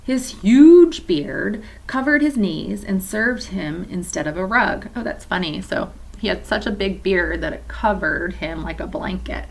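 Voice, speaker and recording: A woman reading a chapter book aloud to children for a church, adding gentle, chatty asides to her young listeners. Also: medium pitch, animated, a little slow, creaky, few pauses, a little hiss or hum. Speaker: children's story reader at a church.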